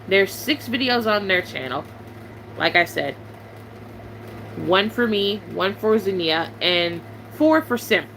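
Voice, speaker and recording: A woman's voice: medium pitch, pushed and bright, slight background noise, poor audio. A young woman YouTuber, venting bluntly and sarcastically to her viewers in a casual chat.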